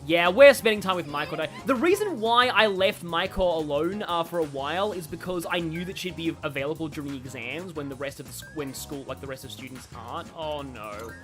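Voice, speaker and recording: A game streamer talks casually on a livestream, rambling and reacting out loud to what happens in play. The voice sits at a middling pitch, with game music playing faintly behind it. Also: expressive, fairly quick, few pauses.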